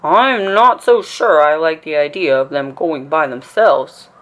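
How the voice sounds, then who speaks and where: A woman voicing a wary, gruff papa bear from a storybook in an ominous, emphatic manner. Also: low voice, a little slow. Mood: spooky warning.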